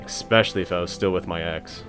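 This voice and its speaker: A man's voice: deep voice, fairly even intonation, fast talker, fairly bright tone, faint music behind. A young man playing a mild, earnest, slightly hesitant friend in a scripted romantic comedy audio drama. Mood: earnest, reflective. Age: young adult.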